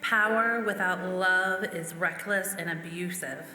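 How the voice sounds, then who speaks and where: A woman with a slightly low, bright voice reads quotations aloud. The hall is reverberant and music sits behind her. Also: a little slow. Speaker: church member reading. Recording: echoey hall, music underneath.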